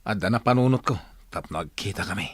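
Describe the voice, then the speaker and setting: A man's voice: on the low side, lively intonation, quick pace, slightly rough, no echo. A man playing an evasive, easily flustered cheating husband in a Filipino radio comedy-drama, with a Filipino accent. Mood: scheming.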